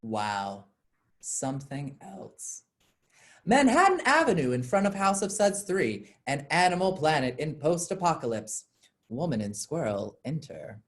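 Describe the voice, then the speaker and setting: A narrator reads scripted stage directions for a play. The pitch is mid-range and moves in a lively way. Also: a little slow.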